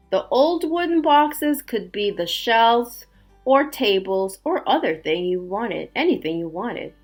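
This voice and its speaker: A woman teacher reading aloud and explaining words to young children in an expressive way, with simple asides and check-in questions. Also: mid-range voice. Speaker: elementary school teacher. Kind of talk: read-aloud storytelling and word lesson for young children.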